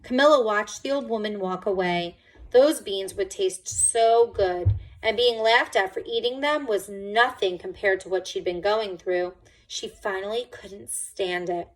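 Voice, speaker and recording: A woman's voice: mid-range voice, a little slow, rough recording. A woman reading a picture book aloud to children, expressively and with dramatic emphasis.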